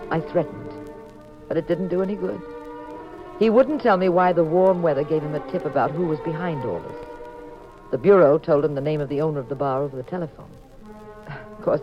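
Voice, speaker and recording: A woman's voice: low-pitched, natural voice. Woman acting wry detective's wife, curious, squeamish, 1950s radio mystery, muffled sound, music behind.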